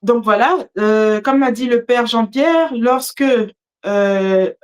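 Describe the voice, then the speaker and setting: A woman hosting a live religious discussion, partly reading from notes, with a hesitant, halting delivery. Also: fairly high pitch. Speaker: host of a religious discussion show.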